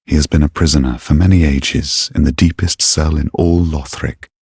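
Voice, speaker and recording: An actor playing a sly, haughty knight-assassin in a video game, speaking in a silky, deliberate and theatrically formal manner with a gravelly edge. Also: male voice, fairly even intonation, darkish tone, dry recording, studio quality, exaggerated voice. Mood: sly, knowing. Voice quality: low, husky, gravelly. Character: sly, menacing, haughty knight-turned-assassin, devoted to his goddess. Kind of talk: video game character dialogue.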